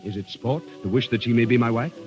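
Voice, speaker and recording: An actor playing an earnest, gallant army captain in love in a vintage radio drama, with formal, courtly, hesitant phrasing. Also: male voice, mid-range voice, fast, a little mellow, muffled recording, close to an everyday voice. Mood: honest, hesitant. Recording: old radio recording with music behind.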